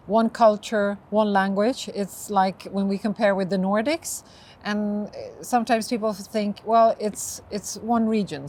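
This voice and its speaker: A woman's voice: medium pitch, darkish tone. A woman host in a casual outdoor interview on a windy day, often backing up her guest with "mm-hmm". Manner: frequent "mm-hmm" backchannels. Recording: faint outdoor noise.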